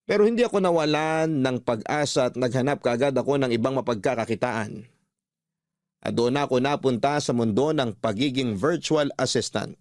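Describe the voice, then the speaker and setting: A man with a Filipino accent narrates a scripted personal story in radio style. His mid-pitched voice is recorded very dry and close. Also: moderate pace, dry recording.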